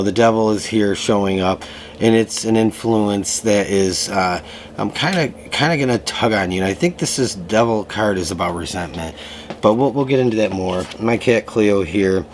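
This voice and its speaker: A man giving a casual tarot reading to camera, slightly hoarse from a lingering cough, talking in a loose way with many fillers. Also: fairly low pitch, normal speed, slight background noise. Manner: relaxed, loose, full of fillers and restarts. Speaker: tarot reader. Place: room at home.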